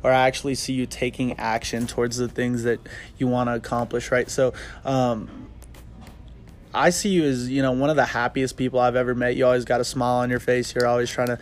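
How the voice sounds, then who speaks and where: A man's voice: mid-range voice, flat delivery, brisk, a little hiss or hum. Earnest young man hosting, lots of ums. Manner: earnest, many ums and restarts. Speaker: podcast host. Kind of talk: casual interview chat. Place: bookstore children's section.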